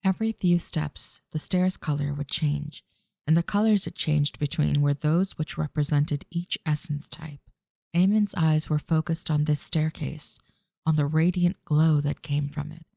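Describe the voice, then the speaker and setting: Gravelly, mellow male narrator. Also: fairly high pitch, steady tone, measured pace, dry recording, clean studio sound. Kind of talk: scripted fantasy narration.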